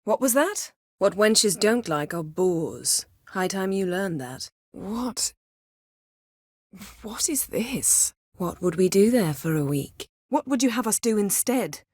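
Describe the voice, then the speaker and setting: An actress playing a proud, sharp-tongued sorceress in a fantasy video game, speaking in a haughty, sardonic, clipped and articulate way. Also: female voice, mid-range voice, very animated, slow pace, no room sound, clean studio sound. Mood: sharp, demanding.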